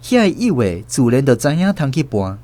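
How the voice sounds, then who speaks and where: A man with a Taiwanese accent narrating a scripted radio drama story in an expressive storyteller's delivery. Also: mid-range voice, moderate pace, no echo. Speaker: host and narrator.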